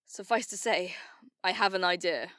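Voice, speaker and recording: A woman's voice: lowish voice, fairly bright tone, no echo, clean studio sound, natural voice. A woman playing a resolute leader rallying her team in an audio drama. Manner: commanding, measured.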